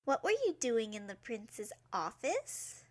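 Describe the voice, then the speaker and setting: An actress playing a nosy, sharp-tongued young maid in a castle. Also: female voice, fairly high pitch, very animated, slow pace, full character voice. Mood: suspicious. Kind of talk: audio drama acting.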